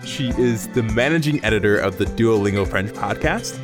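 An American man hosting a podcast in easy casual chat, upbeat and quick to laugh. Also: lowish voice, expressive, music in the background. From United States. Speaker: podcast host.

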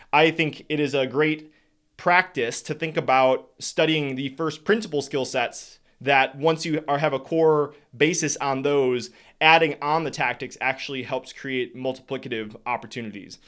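A man's voice: mid-range voice, a bit bright. A young adult man speaking earnestly and reflectively to his audience, sharing lessons he learned, sometimes stopping to correct himself. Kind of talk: casual talk to an audience.

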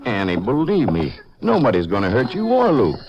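A man's voice: mid-range voice, wildly swooping pitch, normal speed. A man playing a folksy, coaxing small-town Texas sheriff in a 1950s radio western, talking in a familiar, persuasive way. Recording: dull, hissy 1950s radio recording.

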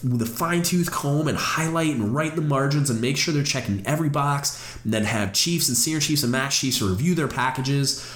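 A man's voice: medium pitch, echoey room. Congested, nasal man, podcast host. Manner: earnest, encouraging, with restarts. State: stuffed up and congested. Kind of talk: solo mentoring podcast talk.

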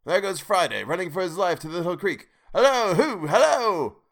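A man voicing an old miser, excited and tearful as he recalls his childhood, in a storybook reading. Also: fairly low pitch, brisk. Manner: between laughing and crying.

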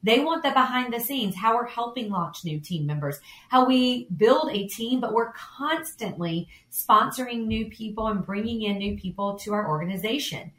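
A woman's voice: on the high side, expressive, moderate pace, fairly bright tone, reverberant, poor audio. A woman co-hosting a podcast, giving chatty, encouraging advice. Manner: chatty, encouraging, laughs easily. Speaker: podcast co-host.

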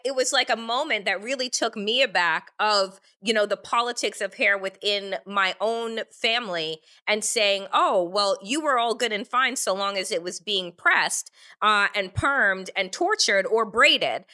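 A woman hosting a conversational interview, animated and talking in quick restarts and asides. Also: medium pitch. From Jamaican family. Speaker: interview host.

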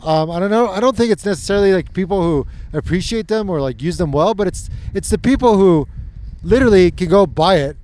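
A man's voice: high-pitched, lively intonation, brisk, darkish tone. A man hosting a casual chat, joking and swearing freely with energy. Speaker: host.

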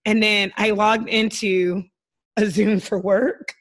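A woman in her thirties with a slightly hoarse voice, co-hosting a podcast in a casual, joking, giggly way. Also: mid-range voice, steady tone, slow pace. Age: 30s. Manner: giddy, giggly. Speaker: podcast co-host. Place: remote video call.

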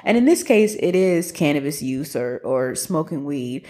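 A woman's voice: sits low, lively intonation, moderate pace, dark tone. A woman hosting a podcast, reflecting on an episode and then interviewing a guest in a friendly way with some fillers. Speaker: podcast host.

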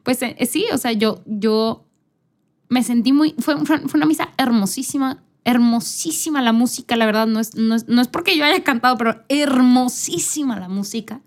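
A woman's voice: fairly high pitch, moderate pace. A woman podcast host giving a casual, personal faith talk, conversational and earnest, speaking directly to the listener. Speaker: podcast host and church singer.

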